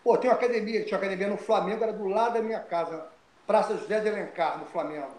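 An older man from Brazil talks as an interview guest in a voice pitched high for a man. He is animated, repeats words and restarts his sentences. The sound carries an echo from the room. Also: very fast. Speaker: guest, retired fighter. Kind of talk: storytelling interview.

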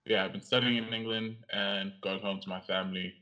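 A young man from Cameroon, a guest in an interview, speaking in a measured, reflective way with some pauses. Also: lowish voice, flat delivery, pushed and bright. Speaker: guest, audiovisual artist and writer. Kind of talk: interview conversation. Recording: lo-fi, slightly dull sound.

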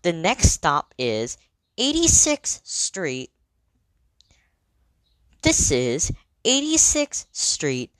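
Low-voiced woman making measured train announcements. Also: huge pitch swings, no echo. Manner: measured, clearly segmented, formal. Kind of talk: scripted transit announcements.

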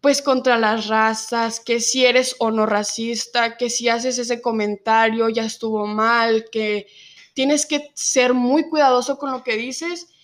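A nineteen-year-old woman from Mexico with a Mexican Spanish accent, telling her own story in an interview and pausing often on fillers. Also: on the high side, steady tone. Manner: reflective, many filler pauses. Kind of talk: personal testimony in an interview.

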